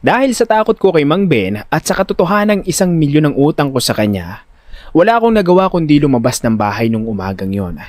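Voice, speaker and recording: A man giving expressive, comically exasperated first-person narration of a dramatic audio story. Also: a little high, brisk. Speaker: narrator and lead voice actor.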